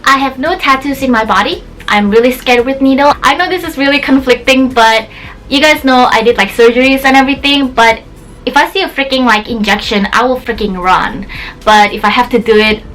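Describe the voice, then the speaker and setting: A chatty young woman, a native Indonesian speaker raised in Indonesia, talking to camera in a bubbly, filler-heavy way. Her voice sits a little high, with a faint hiss of room noise behind her. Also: brisk, a bit bright, poor audio. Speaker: beauty vlogger. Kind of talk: casual chat to camera.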